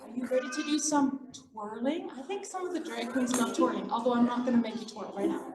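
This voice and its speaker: A woman's voice: fairly high pitch, brisk, heavy background noise. A woman hosting a live children's storytime and sing-along, chatty and playful as she gives the audience instructions. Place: echoey indoor room at a library event. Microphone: event microphone. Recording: echoey room, music behind.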